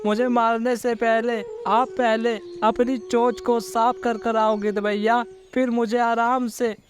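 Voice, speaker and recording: Man voicing a high-pitched pleading little female bird. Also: level tone. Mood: resigned, coaxing. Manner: plaintive, reproachful, drawn-out pleading. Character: small, pleading, clever female songbird. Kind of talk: children's cartoon story dialogue. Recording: loud music bed.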